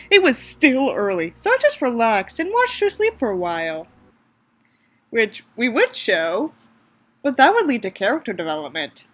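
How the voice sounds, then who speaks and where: A woman giving a mocking dramatic reading of a story, dropping in sarcastic, theatrical casual asides. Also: fairly high pitch. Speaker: host reading a story aloud with commentary. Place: small room in an apartment.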